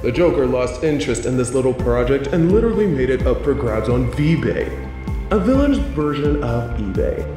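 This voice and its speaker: A man playing a playful, sinister supervillain in an audio drama, teasing and gloating with theatrical, drawn-out emphasis. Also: medium pitch, roomy sound, natural voice. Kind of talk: scripted audio roleplay villain monologue.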